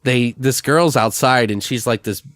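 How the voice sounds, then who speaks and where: A man hosting a podcast, chatting casually and brainstorming in a rambling, animated storytelling way with plenty of swearing. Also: medium pitch, measured pace, no room sound, clean studio sound. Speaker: podcast host. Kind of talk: casual improvised brainstorming chat.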